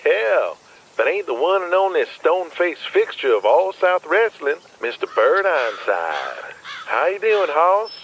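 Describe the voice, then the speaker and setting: An actor playing a cocky, flamboyant wrestler in an audio drama, with a showy, teasing, mock-courtly manner. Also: male voice, a little high, big pitch swings, unhurried, a bit bright, slight background noise, full character voice. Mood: jovial, teasing. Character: cocky, flamboyant Southern wrestler, a crude smooth talker.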